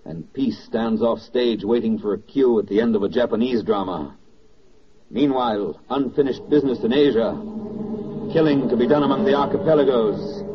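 A man performing as a solemn, declamatory narrator of a wartime radio drama, with dramatic pauses. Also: medium pitch, noisy background, close to an everyday voice. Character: solemn, oratorical male narrator of wartime victory. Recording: dull, band-limited 1940s radio record with music.

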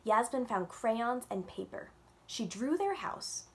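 A young woman reads a children's picture book aloud at story time, stretching out her words expressively. She sounds like a lively children's librarian. The audio comes from a webcam in a room at home. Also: fairly high pitch. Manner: animated and expressive, drawn-out storytelling. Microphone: webcam on a video call.

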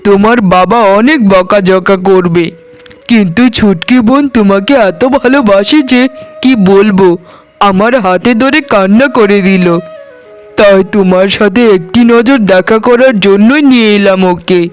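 A kind young cartoon husband, voiced by a man, dutiful and gentle. The voice is high for a male and very clear, with an earnest delivery over light music. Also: a little slow. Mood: tender. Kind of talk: cartoon dialogue acting.

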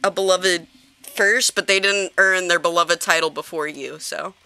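A woman's voice: lowish voice, fairly even intonation, normal speed, pushed and bright, dry recording. A woman streaming a game, chatting casually with friends and laughing often between lines. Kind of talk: casual gaming chat. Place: home streaming setup.

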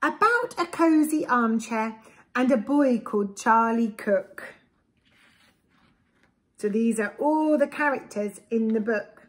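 A woman in her forties with an English accent, reading a rhyming picture book to young children in an expressive, deliberate way, with simple asides. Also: medium pitch. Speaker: preschool storyteller. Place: small indoor room with bookshelves. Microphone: camera a short distance away.

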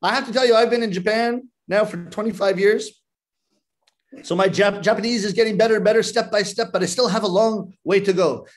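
Casting: Canadian-raised comic storyteller, forties, theatrical and clear, high for a man, on a video call. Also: fairly even intonation, fairly quick. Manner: theatrical, clearly enunciated, joking. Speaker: rakugo storyteller. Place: living room at home, indoors. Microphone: webcam on a video call.

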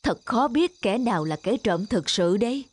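A woman voicing a stern, shrewd male magistrate in a cartoon folk tale, speaking in a measured, formal, authoritative way. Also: on the high side, expressive, a little hiss or hum, close to an everyday voice. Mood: troubled. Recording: music behind the voice.

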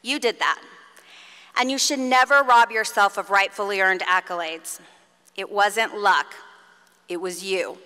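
Woman, middle-aged, commencement speech, smooth clear voice, lectern mic, long hall reverb. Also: medium pitch, steady tone, bright, forceful tone. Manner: earnest, encouraging, measured address to graduates. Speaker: faculty commencement speaker. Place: large concert hall stage at a lectern. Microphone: lectern microphone.

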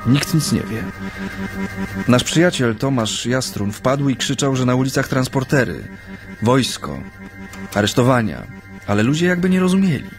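An actor plays a weary, earnest man in his thirties, an exiled Japanese activist in a radio drama. His voice sits at a middle pitch, intimate and at times hesitant, with music playing underneath. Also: natural voice. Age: 30s. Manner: hesitant, halting when addressing people. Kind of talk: radio play acting, intimate first-person narration.

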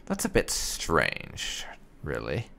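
Casting: male gamer, very animated low pitch with creaky edge, polite, musing playthrough commentary. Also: takes it slowly. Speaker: game streamer doing roleplay playthrough. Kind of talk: casual gameplay commentary, reading dialogue choices aloud.

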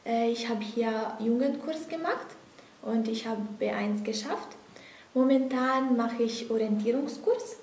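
A woman's voice: on the high side, a little slow, darkish tone, slight background noise. A young woman from Italy, a former course student, speaking hesitantly in simple sentences. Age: young adult. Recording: echoey room, mic at a distance.

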